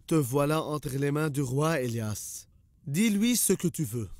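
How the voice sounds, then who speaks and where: High-pitched, hostile royal minister. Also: male voice, lively intonation, measured pace, darkish tone, no echo, clean studio sound. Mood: commanding. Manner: indignant, accusatory. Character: hostile, zealous minister of a pagan king. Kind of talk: cartoon dubbing.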